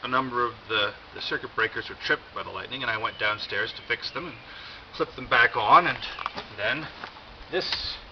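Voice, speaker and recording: An American man giving a casual, rambling show-and-tell with wry, deadpan humour and a halting delivery. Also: mid-range voice. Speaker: video host. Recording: dull lo-fi audio, loud background noise.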